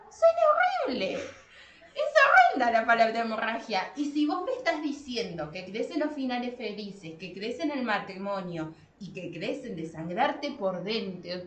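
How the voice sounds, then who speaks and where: An expressive, emphatic woman with an Argentine accent, talking casually as a podcast host. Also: on the high side. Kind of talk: casual podcast discussion about translation. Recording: echoey room with faint noise.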